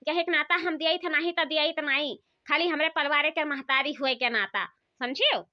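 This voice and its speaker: A woman playing a sharp-tongued, put-upon village daughter-in-law in a comic cartoon, nagging in rustic talk with an Awadhi accent. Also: high voice, moderate pace, close to an everyday voice. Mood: complaining. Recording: dull lo-fi sound.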